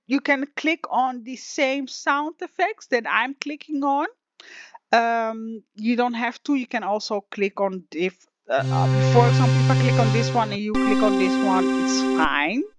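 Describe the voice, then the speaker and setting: Slow rambling woman teacher, slightly raspy. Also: on the high side. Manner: rambling, emotional, many restarts and fillers. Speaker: online music software teacher. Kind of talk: casual screen-share tutorial. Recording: dull lo-fi dry sound.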